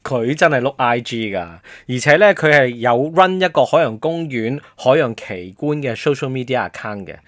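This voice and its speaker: A Hong Kong Cantonese man hosts a casual quiz with very varied, animated intonation. He explains things in a playful, prompting way, tossing out questions and hints. The sound is dry, with little room on it. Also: medium pitch, normal speed, hardly any pauses, no echo. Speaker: radio host and quizmaster. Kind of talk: casual promotional quiz chat.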